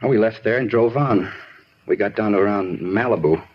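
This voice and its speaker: An actor playing a talkative, disturbed murder suspect in a 1950s radio drama, rambling and insistent in an American accent. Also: male voice, on the low side, fairly quick, slight background noise, close to an everyday voice. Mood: wistful, rambling. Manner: rambling, repetitive, insistent, plain-spoken. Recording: dull vintage radio recording.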